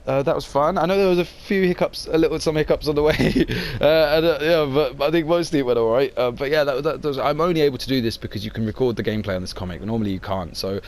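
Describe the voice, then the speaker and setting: A man's voice: mid-range voice, fast talker, hardly any pauses, darkish tone. A young man wrapping up his video in a casual, upbeat, rambling way with lots of fillers. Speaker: channel host. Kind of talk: casual outro to camera.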